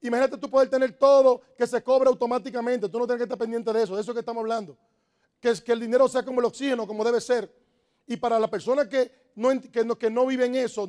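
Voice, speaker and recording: A man with a strikingly high voice rattles through his motivational speech at a fast clip. He drives each point home by repeating it, pressing the crowd in an emphatic, preacher-like way. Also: a little mellow. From Dominican Republic. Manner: emphatic, repetitive, preaching-like exhortation. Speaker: network marketing motivational speaker. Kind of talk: motivational talk to a live audience.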